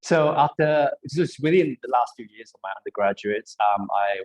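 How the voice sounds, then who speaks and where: A man in his thirties from Malaysia, giving an online career talk over a video call and pausing often with ums and uhs. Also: medium pitch, animated, highs cut off. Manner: hesitant, many ums and uhs. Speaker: biomedical engineer, panel speaker.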